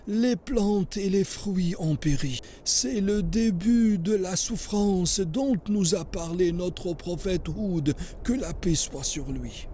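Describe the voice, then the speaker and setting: An actor playing a pious, solemn sheikh in a French cartoon, preaching in a grave, measured, husky voice. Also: male voice, sits high, warm tone, slight background noise. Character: pious, solemn sheikh teaching about the prophet.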